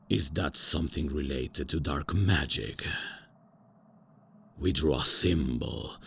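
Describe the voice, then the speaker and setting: A man's voice: a little hiss or hum, full character voice. A man voicing a pompous, old-fashioned male vampire count who is out of touch with the modern world, in an ASMR roleplay. He talks grandly and formally but keeps stumbling over fillers and correcting himself. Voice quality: deep and gravelly. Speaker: voice actor.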